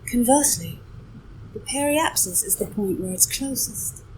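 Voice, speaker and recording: A woman's voice: fairly high pitch, lively intonation, normal speed, a little mellow, a little hiss or hum, rough recording. A woman's voice as an AI mission companion, giving formal, precise explanations. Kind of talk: explanatory narration, assistant answering a question.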